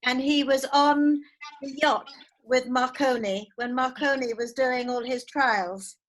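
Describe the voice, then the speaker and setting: An older woman with a fairly high voice chats casually on a video call. She is hesitant, circling back and repeating words as she goes. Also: fairly even intonation, measured pace, slight background noise, rough recording. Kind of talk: casual chat.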